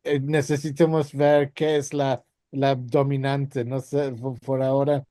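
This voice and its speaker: Mellow-voiced Englishman asking a question. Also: mid-range voice, little pitch movement, a little slow, no echo, clean studio sound. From England. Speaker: audience questioner, media studies academic. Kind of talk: informal question at an academic talk.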